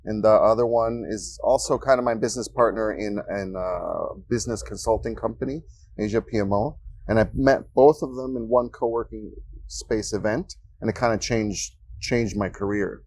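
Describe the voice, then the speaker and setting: A man from Ecuador speaking as a guest in a casual interview in a coworking space, relaxed and joking as he tells stories. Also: lowish voice, normal speed. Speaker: guest, freelancer.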